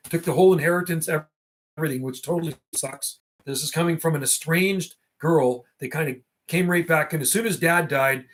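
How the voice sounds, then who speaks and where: Middle aged guy, voice a bit high, rambling livestream chat, slangy and kinda reminiscing. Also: fairly bright tone. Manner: slangy, reminiscing, often ends phrases with "man". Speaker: livestream host.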